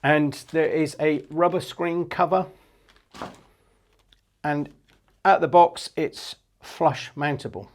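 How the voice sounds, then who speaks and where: A man's voice: fairly high pitch, steady tone, takes it slowly, pauses often. A man in his fifties giving an unscripted product demonstration, explaining things in a plain, matter-of-fact way into a lapel mic. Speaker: product presenter for a marine equipment shop. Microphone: clip-on lapel mic.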